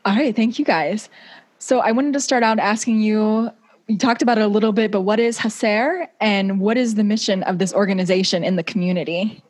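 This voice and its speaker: A woman's voice: medium pitch, a little fast, darkish tone. A woman hosting a podcast interview in a conversational style over a video call. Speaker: podcast host.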